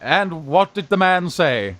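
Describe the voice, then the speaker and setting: A man in a radio farce playing a young fellow who poses as a gruff, flustered old aunt, halting and blustering. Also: on the high side, big pitch swings, fairly bright tone, dry recording, exaggerated voice. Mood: flustered, prim. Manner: blustering, halting, repeats words. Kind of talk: stage farce radio acting.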